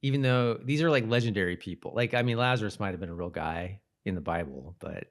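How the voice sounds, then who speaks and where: Male co-host, slightly deep voice, casual and halting conversational delivery. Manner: informal, halting. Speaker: podcast co-host. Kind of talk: casual co-hosted chat.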